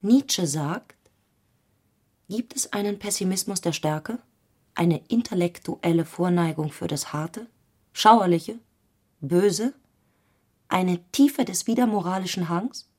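Eine Schauspielerin spricht in einem literarischen Hörspiel eine grüblerische, knappe, fragende innere Stimme einer Frau. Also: female voice, fairly low pitch, slow pace, long pauses, a little mellow, slightly rough. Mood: brooding. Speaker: second narrating voice in a literary radio play. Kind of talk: literary radio drama narration.

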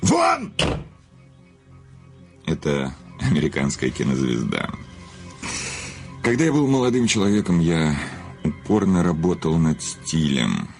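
An actor in a radio play playing a vain, cynical famous writer and confessed killer, with a theatrical, sardonic manner. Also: male voice, extremely low pitch, gravelly, a little mellow, heavily acted.

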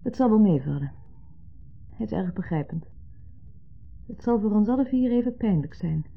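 An actress playing a patient, mildly exasperated wife in a 1970s radio play, reassuring and matter-of-fact. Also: female voice, medium pitch, animated, moderate pace, vocal fry. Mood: soothing, insistent. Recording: muffled narrow-band 1970s radio recording.

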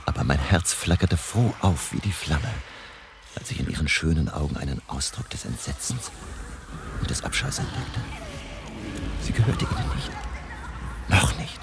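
An older man playing a grave vampire hunter in a German radio drama, narrating his diary solemnly with a gravelly voice. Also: sing-song, moderate pace, long pauses, noisy background. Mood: dramatic, shaken. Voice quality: very deep, gravelly. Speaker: narrator and actor.

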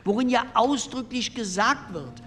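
High-pitched male parliamentarian, lecturing. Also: lively intonation, normal speed, fairly bright tone. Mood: insistent. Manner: formal, insistent, lecturing. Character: conservative male parliamentarian in a postwar assembly. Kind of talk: re-enacted parliamentary speech. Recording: hall reverb, low rumble, faint noise.

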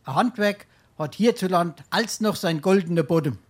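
A glib, wily sponger from a Hessian dialect comedy. His high, husky voice wheedles in broad Darmstadt dialect, with mock-polite theatrical flourishes and idle charm. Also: moderate pace. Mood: wheedling, glib. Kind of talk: dialect stage comedy acting.

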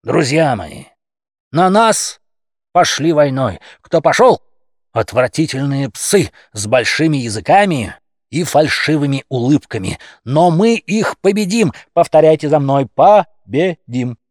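A man's voice: fairly high pitch, very animated, measured pace, darkish tone, no room sound, studio quality, pitched above the performer's own voice. A man voicing a pompous cat leader in an audiobook fairy tale, a bombastic demagogue who speaks with heavy emphasis. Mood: preaching, emphatic. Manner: emphatic, pompous, repeats phrases with pressure. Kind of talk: audiobook character acting, satirical fairy tale.

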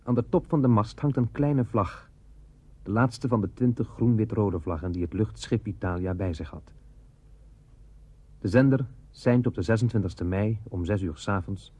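An actor playing a sober announcer who narrates a disaster reconstruction in a 1960s radio play. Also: male voice, on the low side, moderate pace, a little mellow, husky, no room sound. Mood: sober, factual.

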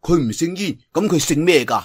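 A man's voice: fairly high pitch, expressive, brisk, breathy edge. A man voicing a naive, curious young swordsman in a martial arts story, with a Cantonese accent and wondering, exclamatory delivery. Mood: puzzled, curious.

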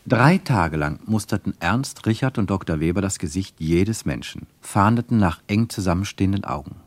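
Deep-voiced man narrating with lively intonation. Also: no echo. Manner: measured, literary storytelling. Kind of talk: scripted radio play narration.

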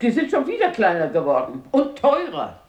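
Husky, lively old grandmother, blunt and fearless. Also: on the high side, dull audio, exaggerated voice. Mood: indignant. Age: older. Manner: brisk, outspoken, scolding yet affectionate. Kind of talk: radio drama acting.

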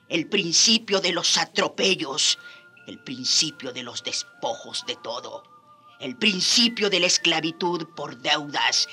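Old native woman in a radio drama, slow and grave, voice swings a lot, music behind. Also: a bass voice, exaggerated voice. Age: older. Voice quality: hoarse when reading forcefully.

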